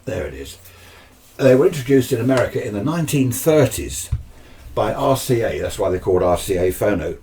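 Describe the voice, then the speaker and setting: A man's voice: fairly low pitch, sing-song, brisk, warm tone, a little hiss or hum. A British man giving a casual, chatty explanation, often asking himself questions and answering them. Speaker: amateur radio video presenter. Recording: slightly distant microphone.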